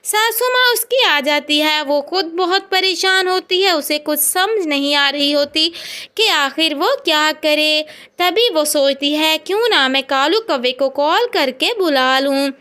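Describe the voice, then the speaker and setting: A woman narrating a scripted children's story in a drawn-out storytelling manner. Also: sits high, pushed and bright.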